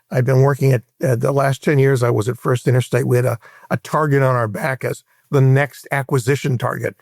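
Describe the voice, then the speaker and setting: A man in his sixties from Hawaii with an American accent, recounting his career in a measured, explanatory way as an interview guest. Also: medium pitch, gravelly, darkish tone, dry recording, studio quality. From Hawaii, United States. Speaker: interview guest, private equity veteran. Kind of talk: conversational career recollection in an interview.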